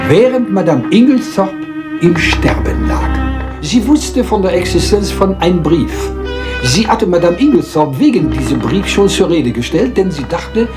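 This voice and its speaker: An actor playing a small, self-assured Belgian detective with a French accent, theatrical and politely lecturing in a radio crime drama. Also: male voice, fairly high pitch. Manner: theatrical, deliberate, polite, lecturing, teasing rhetorical questions.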